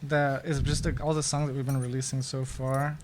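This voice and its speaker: A man's voice: medium pitch, level tone, a little fast, darkish tone, a little hiss or hum. A young man in a band talking casually about upcoming shows, rambling and stopping to search for words. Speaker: band member. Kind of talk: casual chat.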